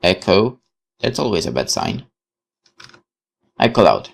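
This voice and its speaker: A man with a fairly deep voice reads visual novel text aloud as a let's player. His delivery is highly animated and dramatic, mixed with musing commentary. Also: measured pace, creaky.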